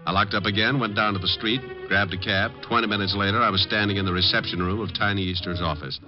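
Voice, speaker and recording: An actor playing a suave, tough, sardonic private detective in a 1950s radio drama. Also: male voice, on the low side, fairly even intonation, fast, music in the background, lightly acted. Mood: matter-of-fact narrating. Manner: sardonic, dry wisecracks. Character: suave, quick-witted, wisecracking tough male private detective. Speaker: lead actor and first-person narrator. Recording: dull old radio recording.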